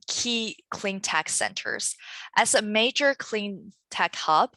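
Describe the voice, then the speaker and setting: A woman giving a webinar presentation from slides on a video call, careful and halting with um fillers. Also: fairly low pitch, pushed and bright, dry recording, poor audio. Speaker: trade presenter.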